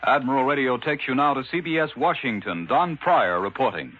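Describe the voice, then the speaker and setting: A man's voice: mid-range voice, animated, brisk. An American man anchoring a 1940s radio news programme in a scripted announcer style. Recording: muffled, faintly noisy 1940s radio.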